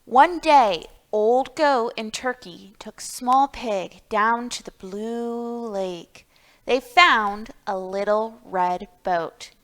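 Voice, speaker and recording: A woman's voice: fairly high pitch, very slow, fairly bright tone. A woman hosting a library storytime, talking to young children and reading picture books aloud with questions and emphasis.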